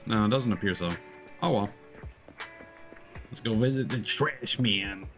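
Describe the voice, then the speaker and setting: A man's voice: on the low side, unhurried, a bit bright. Man streaming a game over music. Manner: reads game dialogue aloud in character voices. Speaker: streamer playing a video game. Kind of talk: casual let's-play commentary.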